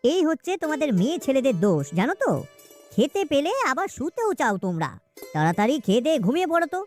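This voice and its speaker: Woman voicing a lively, grumbling village husband. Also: high-pitched, quick pace, faint music behind. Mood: bossy, irritated. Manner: colloquial, exclaiming, grumbling. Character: poor, scheming, comfort-loving village husband. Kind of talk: cartoon story acting.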